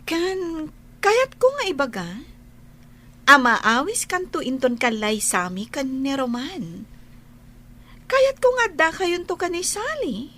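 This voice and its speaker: An actress playing a gushing, sugary young bride-to-be in an Ilocano radio drama, with an Ilocano accent. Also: female voice, high voice, very animated, slow pace, pauses often, a bit bright, exaggerated voice. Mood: eager, gushing. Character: Carla, gushing young bride-to-be boasting to her rival.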